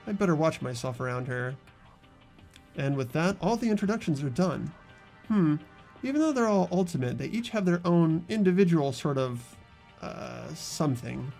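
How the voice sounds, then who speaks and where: A man's voice: a little high, animated, normal speed, vocal fry, darkish tone, soft background music. A man playing an ordinary, earnest and uneasy teenage schoolboy narrating a fan-voiced game. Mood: wary, musing. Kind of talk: amateur voiced visual novel narration and dialogue.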